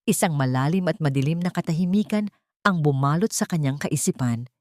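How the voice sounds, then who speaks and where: A woman with a lowish voice tells a dramatic story in slow, measured, somber narration. The sound is close and dry, with no room around it. She reads from a script in a storytelling way. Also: a little mellow, no echo.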